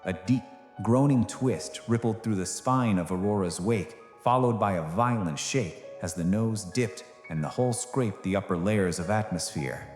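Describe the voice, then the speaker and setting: A man's voice: lowish voice, a little slow, darkish tone, roomy sound, in a large hall. A man reading scripted, dramatic science fiction narration as the narrator of an audio drama. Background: music.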